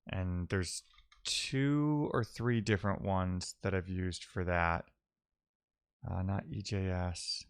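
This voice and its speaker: A man's voice: low-pitched, a bit bright, smooth, dry recording, studio quality. A man talking casually through technical ideas as a live-coding streamer, musing aloud and posing questions to himself.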